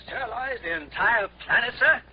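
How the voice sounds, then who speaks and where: A man's voice: very high-pitched, lively intonation, moderate pace. An actor playing an anxious, deferential starship subordinate, hesitant before his superior, in 1950s radio drama style. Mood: flustered, deferential. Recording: muffled, hissy old radio recording.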